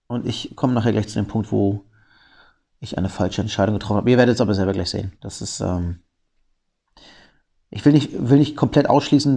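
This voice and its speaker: Man, gameplay commentator, has a cold, low voice, gravelly, thinks aloud with fillers. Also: mellow. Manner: analytical, explains each move with frequent fillers.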